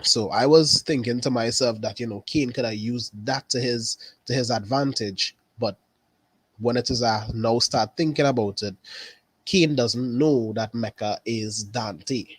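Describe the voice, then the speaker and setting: A man from Trinidad with a Trinidadian accent, chatting casually about a TV episode, unhurried and repeating his phrases. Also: medium pitch, slightly rough, dry recording. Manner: unhurried, repeats phrases, says "really and truly". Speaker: caller.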